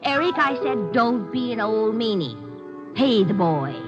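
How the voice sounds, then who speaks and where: A high-voiced, chiding sister. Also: female voice, big pitch swings, a little slow, over music. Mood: playfully scolding. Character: chiding, familiar female relative, a sister. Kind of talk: 1940s-50s radio drama acting. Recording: dull vintage radio recording.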